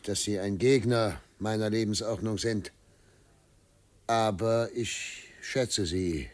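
An actor playing a proud middle-aged governor and family patriarch in a German radio drama, speaking with authority and deliberation. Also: male voice, medium pitch, lightly acted.

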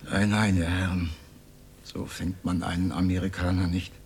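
An actor playing an older communist and failed stage actor in a radio drama, sardonic, defiant and declamatory. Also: male voice, low-pitched, flat delivery, normal speed, darkish tone, a little hiss or hum, natural voice. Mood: theatrical, defiant. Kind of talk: radio drama monologue, theatrical.

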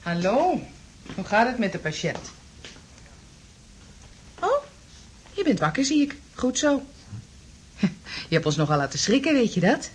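A woman's voice: a little high, very animated, measured pace, pauses often, slight background noise. An actress in a radio drama playing a kindly, fussing, chatty landlady. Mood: cheerful, reassuring.

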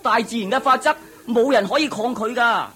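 A man's voice: very high-pitched, fairly quick, bright, forceful tone. A man acting a prince's loyal chariot driver in a Cantonese radio drama, deferential and explaining things plainly. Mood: frank.

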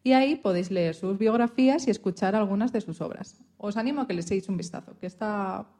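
A woman's voice: mid-range voice, quick pace, warm tone, close to an everyday voice. A woman playing a resilient, proud historical pianist and composer, telling her life story earnestly in a radio interview. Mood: encouraging. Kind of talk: scripted mock radio interview.

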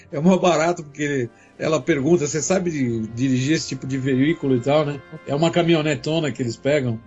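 A man's voice: a little high, fairly quick, darkish tone, highs cut off, faint music behind. A man with a Brazilian accent chatting casually as a panelist on a fan podcast.

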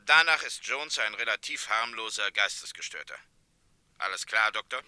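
An actor playing a stern, controlled state prosecutor in a radio crime play, speaking in clipped, matter-of-fact phrases. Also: male voice, lowish voice, animated, moderate pace, sharp tone, smooth, no room sound. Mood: cool, official.

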